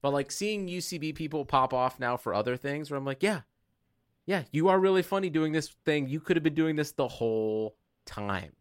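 An American man with a mid-to-high voice that swings widely in pitch. He co-hosts a podcast with casual, teasing, comedic chat and some swearing. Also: lively intonation, moderate pace, smooth, dry recording, studio quality. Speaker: podcast co-host.

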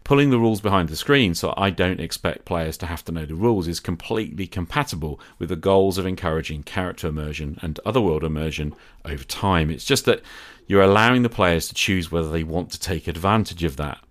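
Deep-voiced man hosting a podcast. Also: fairly quick. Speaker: podcast host. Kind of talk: scripted solo podcast narration.

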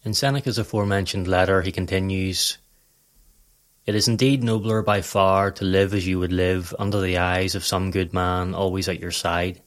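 A man narrating a scripted guided meditation in a calm, measured, instructional manner. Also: sits low.

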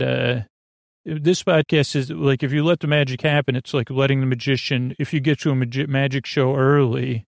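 A man with a creaky voice hosting a podcast in a rambling, halting, casual monologue that keeps trailing off and circling back. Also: medium pitch, no echo, studio quality. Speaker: podcast host.

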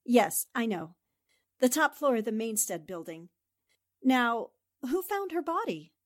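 Woman doing an old-time radio girl reporter, high lively voice, eager and polite, dry close sound. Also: a little slow, dry recording. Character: quick-witted woman newspaper reporter. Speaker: newspaper reporter. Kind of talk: 1940s radio drama acting.

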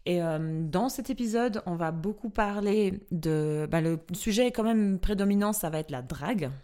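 A woman's voice: medium pitch, normal speed, mellow. A woman who is not a native French speaker, co-hosting a podcast in a casual, earnest chat, laughing easily and rephrasing as she goes. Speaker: podcast co-host. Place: studio in a Swiss city.

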